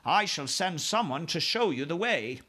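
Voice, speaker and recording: A man's voice: a little high, lively intonation, normal speed, bright, forceful tone, husky, voice pushed up, full character voice. A man voicing a dignified, gracious Queen from a children's storybook, speaking in a polite, formal, composed manner. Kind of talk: children's storybook read aloud.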